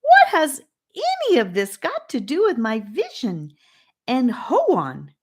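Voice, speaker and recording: Woman voicing an impatient boy, high insistent voice, storybook. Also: wildly swooping pitch, takes it slowly, slightly rough, heavily acted. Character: impatient, one-track-minded boy.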